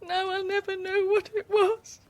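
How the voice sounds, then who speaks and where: High-voiced grieving woman. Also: level tone, normal speed, no room sound. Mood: remorseful, grieving. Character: grieving, dignified bereaved woman with resolve. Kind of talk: radio drama acting.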